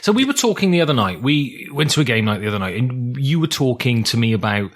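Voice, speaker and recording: A man's voice: medium pitch, very animated, quick pace. A man co-hosting a casual podcast about board games, joking and swearing freely, mocking himself. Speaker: podcast co-host.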